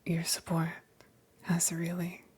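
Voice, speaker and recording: A woman ASMR creator thanking her viewers in a breathy whisper. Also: lowish voice, completely flat delivery, slow pace, a little mellow.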